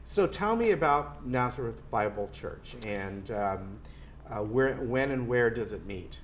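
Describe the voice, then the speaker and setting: A man's voice: mid-range voice, expressive, unhurried, slight background noise. A man interviewing guests on a community TV show, friendly and encouraging. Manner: friendly, encouraging with short backchannels.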